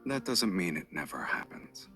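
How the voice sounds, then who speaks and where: An actor playing a smug, protective game love interest who teases slowly and calmly. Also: male voice, deep voice, soft background music, exaggerated voice. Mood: teasing. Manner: teasing and unhurried.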